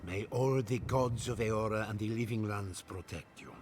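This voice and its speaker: Guy acting as a solemn militia commander, lowish voice, resolute, game scene with faint background noise. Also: normal speed, natural voice. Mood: solemn, commanding. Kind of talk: dramatic video game cutscene acting.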